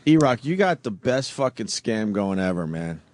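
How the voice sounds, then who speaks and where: Male radio host, low voice roughened by reflux, crude jokey banter. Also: big pitch swings, moderate pace, a little mellow, no echo. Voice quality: voice deepened by acid reflux. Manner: crude, joking, laughs often. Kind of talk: casual morning radio banter. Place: radio studio.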